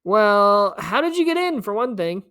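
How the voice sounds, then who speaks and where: A woman's voice: medium pitch, big pitch swings, moderate pace, fairly bright tone, voice pushed up. A woman voicing a curious, wary young boy in a storybook, questioning and earnest.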